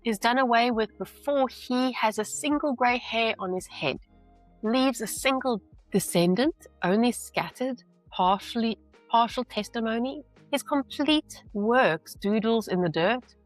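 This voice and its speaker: A woman teacher reading a novel aloud with deliberate, emphatic phrasing and brief explanations. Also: on the high side, faint music behind, low-quality recording.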